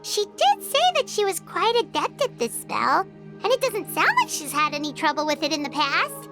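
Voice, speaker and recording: A tiny floating fairy girl with an extremely high, childlike voice. She is chirpy and chatty, swinging freely in pitch as an excitable game companion, with prominent music behind her. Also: normal speed. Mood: curious, chatty. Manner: speaks of herself in third person, exclamatory, chirpy. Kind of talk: animated game voice acting.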